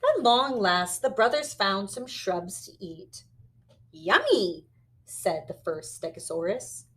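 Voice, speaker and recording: A woman reading a children's story aloud expressively and pausing to explain words like a teacher. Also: medium pitch, animated, a bit bright. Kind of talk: animated children's read-aloud with word lessons.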